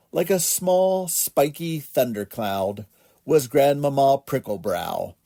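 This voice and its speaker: A man reads a whimsical children's bedtime story in a mellow, relaxed voice. His pace is slow and measured, and his pitch rises and falls expressively. The recording is dry and close. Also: no room sound. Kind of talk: gentle bedtime story narration.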